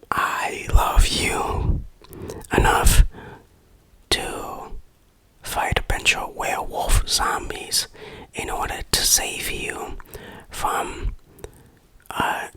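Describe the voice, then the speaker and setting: An ASMR host reviewing in a breathy, unvoiced whisper, with a playful, dryly self-mocking manner. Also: fairly bright tone, rough recording.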